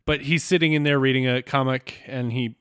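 Regular guy podcast cohost, medium pitch, chatty recap style, dry close audio. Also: steady tone, fairly quick, fairly bright tone, smooth, no room sound. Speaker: podcast co-host. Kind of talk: casual episode recap chat.

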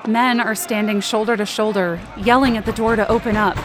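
A woman's voice: medium pitch, fairly even intonation, fairly quick, lightly acted. A young woman playing an anxious but determined narrator in a supernatural audio drama, earnest and descriptive. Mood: tense. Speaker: narrator and lead character.

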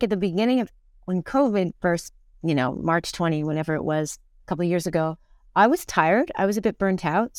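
A woman's voice: mid-range voice, clean tone, no echo, clean studio sound. A woman talking casually and reflectively as an interview guest, often thinking aloud in broken-off phrases. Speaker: interview guest, stage actor.

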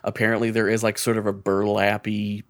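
A man's voice: on the low side, monotone, brisk, no echo. Casual, joking man hosting a game chat. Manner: joking, sweary. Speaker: podcast host. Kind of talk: casual commentary on a video game.

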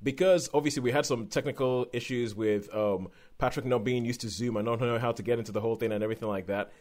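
A man hosting a casual podcast chat over a video call, talkative and quick to laugh. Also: mid-range voice, steady tone, a little mellow. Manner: chatty, laughs often, restarts words. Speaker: podcast host.

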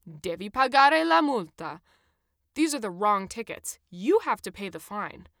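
A woman's voice: medium pitch, lively intonation, normal speed, fairly bright tone, dry recording, studio quality, close to an everyday voice. A woman voicing a huge, aggressive young male Italian ticket checker who barks demands, in a story narration. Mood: stern, demanding. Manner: barking, bellowing demands.

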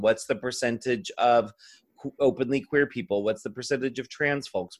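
A man speaking as an interview guest in a casual podcast conversation, thinking aloud in a discursive way with frequent filler phrases. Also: medium pitch. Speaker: interview guest, drag performer.

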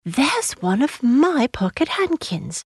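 A woman's voice: high voice, sing-song, slow pace, husky, no echo, studio quality, pitched above the performer's own voice, full character voice. A woman voicing a curious little girl in a storybook, inquisitive and childlike. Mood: excited.

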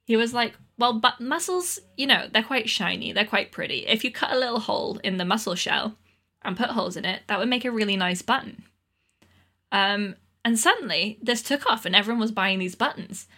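A woman's voice: on the high side, expressive, fairly quick, bright, forceful tone. A woman co-hosting a podcast, telling a story in a chatty, playful, self-deprecating way. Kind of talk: casual comedic storytelling.

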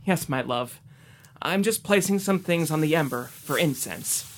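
A man's voice: fairly high pitch, expressive, unhurried. A young man acting as a devout, brave bridegroom in an audio drama, earnest in his delivery. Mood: gentle.

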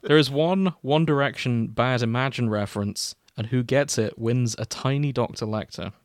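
A male podcast host with a mid-pitched voice moves between casual chat and reading fiction aloud for comedy. The sound is dry and close, with very little room on it. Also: normal speed, dry recording. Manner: breaks into chuckles while reading. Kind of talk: casual banter and comic read-aloud narration.

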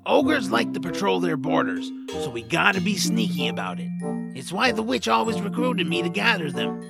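Man acting a whiny, creaky-voiced reluctant young hero. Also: high-pitched, very animated, normal speed, over loud music, heavily acted. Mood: conspiratorial. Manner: complaining, exasperated. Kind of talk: audio drama acting.

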